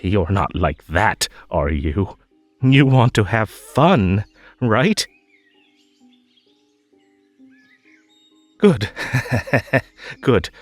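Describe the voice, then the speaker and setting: A man playing a possessive magical tea-party host in an audio roleplay, over-polite and halting, with a menacing, unhinged edge under the sweetness. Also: lowish voice, sing-song, no room sound, soft background music, heavily acted. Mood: persuasive, obsessive. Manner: halting, drawn-out, over-polite, swinging between coaxing and stern. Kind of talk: scripted roleplay audio drama.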